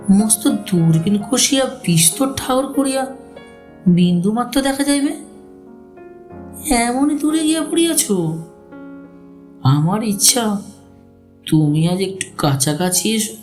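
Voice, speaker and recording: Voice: mid-range voice, big pitch swings, slow pace, long pauses, a little mellow, soft background music. An actor playing a restless, romantic young husband with theatrical, formal delivery in an audio story. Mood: tender, impatient. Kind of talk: dramatised literary audio story.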